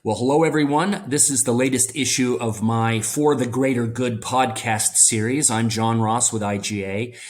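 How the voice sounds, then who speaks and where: A man's voice: medium pitch, steady tone, normal speed, few pauses. A man hosting a business interview podcast, friendly and welcoming, talking in long run-on sentences. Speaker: podcast host. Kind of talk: conversational interview.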